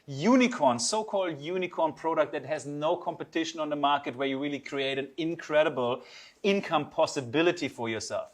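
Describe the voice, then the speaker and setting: German-accented man pitching with enthusiasm. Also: a little fast. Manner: enthusiastic, persuasive, non-native phrasing. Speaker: webinar co-host. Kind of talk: promotional webinar talk.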